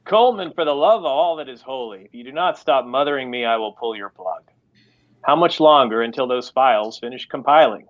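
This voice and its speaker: A man's voice: mid-range voice, animated, a little fast, narrow, tinny audio, natural voice. A man voicing an irritable, stubborn, very old tech tycoon who grumbles petulantly. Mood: exasperated.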